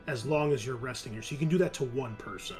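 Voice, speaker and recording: A man's voice: mid-range voice, fast talker, a little mellow. Man running a tabletop game, music underneath. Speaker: game master. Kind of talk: casual improvised narration of a tabletop game.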